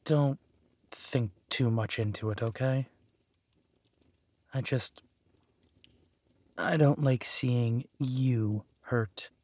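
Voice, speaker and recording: An actor playing a protective villainous duke, tender and soothing, in an intimate audio roleplay. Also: male voice, mid-range voice, no echo. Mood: soft, defensive. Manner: gentle, soothing, unhurried.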